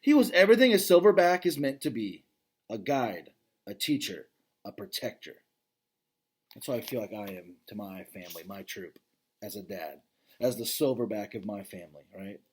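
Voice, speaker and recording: A man, a father, reading a children's novel aloud and pausing to chat with his listeners about the story. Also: lowish voice, husky. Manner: expressive reading, breaks off to comment directly to listeners.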